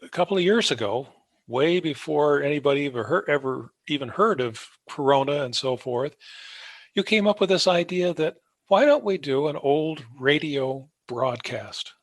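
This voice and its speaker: A man with a fairly high-pitched voice hosts a casual interview. He talks conversationally as he puts questions to his guest. The recording is very dry, with no room sound. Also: lively intonation, normal speed, dry recording. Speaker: podcast host, interviewer.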